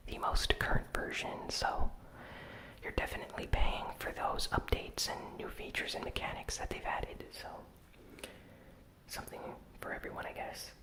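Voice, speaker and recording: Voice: normal speed, rough recording. Whispering ASMR gaming news host. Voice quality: breathy unvoiced whisper. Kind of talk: ASMR whispered news recap with casual commentary.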